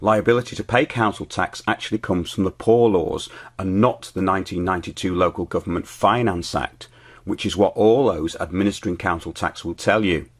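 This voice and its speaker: A middle-aged British man presenting his show as host, reading scripted commentary in a measured, declarative way. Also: low voice, breathy edge.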